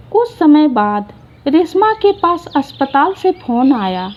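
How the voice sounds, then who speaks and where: A woman narrating a short moral story in an unhurried, gentle reading style. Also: sits high, dull audio.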